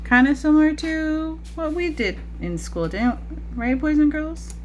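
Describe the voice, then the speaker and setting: A woman's voice: sits high, a little slow, a little mellow, a little hiss or hum. A woman teacher reading a picture book aloud to young children, chatting to them directly with questions and asides. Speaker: preschool teacher.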